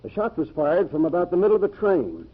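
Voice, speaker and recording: A self-important male county sheriff, speaks stiffly and precisely in a slightly high voice. It has the thin, phone-like sound of 1940s radio drama. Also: very animated, brisk, breathy edge, heavily acted. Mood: businesslike. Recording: thin, band-limited old radio sound.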